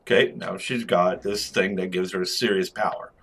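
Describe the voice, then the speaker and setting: A man's voice: deep voice, steady tone, moderate pace, a bit bright, husky. A man giving casual, unscripted game commentary as a review host, rambling along in slang with chuckles.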